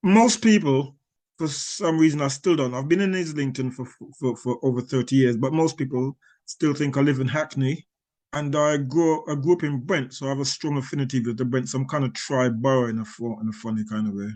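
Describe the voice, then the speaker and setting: A man in his sixties, originally from Barbados, talks about his life in an interview. His answers ramble, full of restarts, fillers and little chuckles. His voice sits at an ordinary pitch. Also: fairly even intonation, fairly quick, a little mellow. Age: 60s. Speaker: author.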